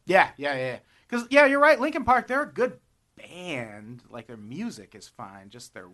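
A comedian trades jokes in casual chat. His voice is bright and his pitch swings widely as he acts out other people's lines. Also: very animated, normal speed. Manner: jokey, acts out quotes with voices.

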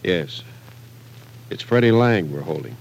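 An American actor playing a patient police captain, questioning with measured persistence in an old radio drama. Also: male voice, low-pitched, lively intonation, creaky, exaggerated voice, rough recording. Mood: patient, probing. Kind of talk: 1940s radio drama acting.

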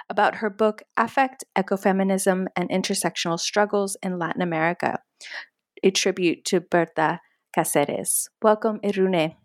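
A woman's voice: mid-range voice, dry recording. Brief: woman podcast host, polite and encouraging, dry close-mic sound. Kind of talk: interview hosting.

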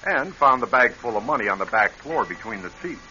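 An actor playing a smug, menacing crook who speaks in a deliberate, gloating way in a radio drama. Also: male voice, mid-range voice, animated, sharp tone, a little hiss or hum. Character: menacing, smug male crook, coolly vengeful. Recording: muffled old radio show with music.

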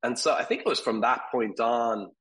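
A man in his thirties, from Ireland, talking casually as an interview guest, rambling with fillers and soft laughs. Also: medium pitch, moderate pace. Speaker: guest, furniture company CEO.

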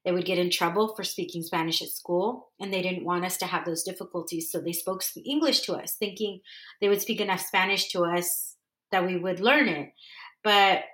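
A woman's voice: lowish voice, normal speed, a bit bright, reverberant, low-quality recording. A woman from San Diego hosting a podcast, talking in a rambling, earnest way and often correcting herself. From San Diego, California. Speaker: podcast host. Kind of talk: casual solo monologue.

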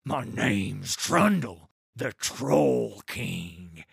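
A big, cheerful troll king talks bluntly and slowly, as a man puts him on. His voice is unhurried and dry, and it rises and falls a great deal in a storybook reading. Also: lowish voice, very animated, vocal fry, slightly rough, dry recording, heavily acted.

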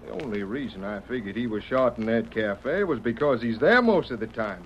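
A man's voice: mid-range voice, expressive, brisk, natural voice. A man acting the part of a folksy, indignant drifting cowboy with a Western drawl in an old-time radio western. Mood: defensive. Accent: American Western drawl. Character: drifting cowboy camper, protesting his innocence, folksy and ornery. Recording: muffled, noisy old radio recording.

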